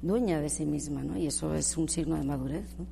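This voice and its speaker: A woman speaks as a panel guest in a measured way, scattering fillers and tag questions through her points. Her voice is low, dark and gravelly. The room adds a long reverb over faint background noise. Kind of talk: discussion panel.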